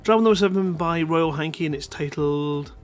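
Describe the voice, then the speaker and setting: A man in his thirties hosting a live show on a headset mic, reading short stories with a drawn-out dramatic delivery between bits of banter. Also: fairly high pitch. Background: music in the background.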